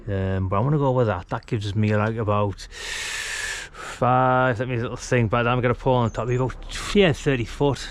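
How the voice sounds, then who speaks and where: A man's voice: mid-range voice, steady tone, normal speed. A middle-aged British man giving a casual hands-on DIY tutorial, rambling and muttering asides as he works. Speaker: DIY vlogger demonstrating antenna mast installation. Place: outdoors by a brick house wall.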